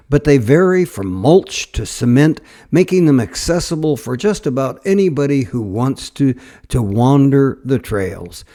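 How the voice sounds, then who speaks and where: A man narrating a travelogue as its host, in an informal, halting, folksy way. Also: medium pitch, dark tone.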